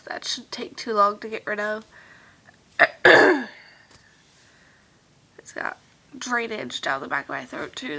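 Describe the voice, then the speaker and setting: A young woman with a higher, bright and clear voice talks to her viewers in a loose, rambling vlog chat. Her nose is badly stuffed, giving her words a congested sound. She fills pauses with lots of "um"s and often lets her sentences trail off softly. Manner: rambling and chatty with many fillers, trailing off softly. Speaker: vlogger.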